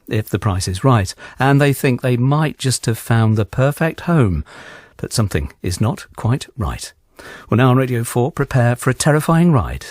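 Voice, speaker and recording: Animated male radio announcer, very dry sound. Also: mid-range voice, dry recording. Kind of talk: scripted dramatic programme introduction.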